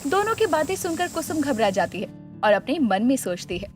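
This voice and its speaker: High, lively woman storyteller. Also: a little fast, soft background music. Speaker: narrator. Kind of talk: scripted moral story narration.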